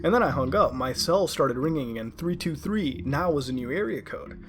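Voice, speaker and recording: A man narrating a first-person horror story in a dramatic yet conversational way, with halting asides. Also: a little high, animated, fairly bright tone, faint music behind. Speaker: creepypasta narrator.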